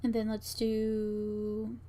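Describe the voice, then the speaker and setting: A woman's voice: medium pitch, completely flat delivery, extremely slow, dark tone. A woman streamer talking herself through a task step by step in fragmented, muttered phrases, casually thinking aloud. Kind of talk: casual work-along narration, thinking aloud. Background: keyboard typing and clicking.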